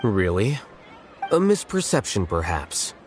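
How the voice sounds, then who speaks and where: A man playing an aloof, blunt young scholar in a video game, speaking in a measured, deadpan way. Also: on the low side. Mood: curt, detached. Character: aloof, blunt young male scholar and acting grand sage. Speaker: game character. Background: prominent background music.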